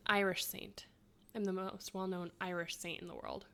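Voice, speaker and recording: A woman's voice: fairly low pitch, level tone, moderate pace, gravelly, sharp tone, clear, resonant tone. A woman in her thirties co-hosting a podcast, reading quiz clues and chatting, laughing often. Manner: chatty, laughs often, self-mocking asides. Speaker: podcast co-host.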